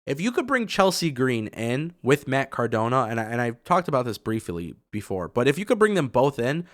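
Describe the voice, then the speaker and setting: Raspy-voiced man with a cold, chatty. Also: mid-range voice, sing-song, brisk, no echo, clean studio sound. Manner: chatty and opinionated, with many fillers. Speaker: wrestling news host. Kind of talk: casual news commentary.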